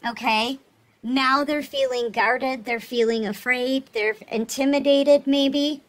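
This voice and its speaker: A woman's voice: sits high, fairly even intonation, slow pace. A woman giving a casual, reassuring tarot reading, speaking straight to the listener and often checking in. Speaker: tarot reader, host.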